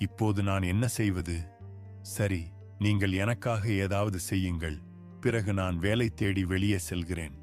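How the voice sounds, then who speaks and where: A careworn village laborer and father, voiced by a man speaks with an earnest, pleading manner in a dubbed cartoon. His voice sits a little low. Soft music plays beneath him. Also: measured pace. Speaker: voice actor. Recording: music bed behind.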